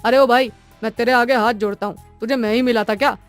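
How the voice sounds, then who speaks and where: A woman voicing a bold, irritable male traveller with a brisk, challenging manner in a cartoon story. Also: a little high, steady tone, faint music behind, natural voice. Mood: annoyed, dismissive.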